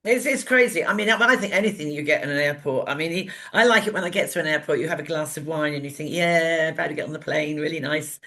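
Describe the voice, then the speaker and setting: A woman's voice: low voice, quick pace, few pauses, a bit bright. A woman co-hosting a talk show, chatting casually and giving opinions in a rambling, exasperated way.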